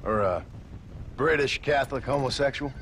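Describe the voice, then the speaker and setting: An actor voices a laconic gunslinger in a western video game. His mid-pitched voice stays dry and sarcastic, and clear outdoor ambience sits behind him. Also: moderate pace, a bit bright, a little hiss or hum, natural voice. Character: laconic male frontier gunslinger, dryly sarcastic.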